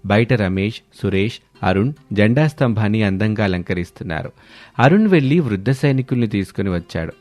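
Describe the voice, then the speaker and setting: Low-voiced man narrating a story over music. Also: expressive, brisk, darkish tone.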